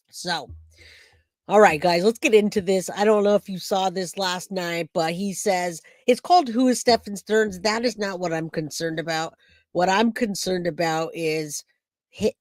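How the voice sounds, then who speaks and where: A man hosting a true crime livestream, hoarse and raspy from a cold, chatting casually and greeting viewers by name. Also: sits high, no echo.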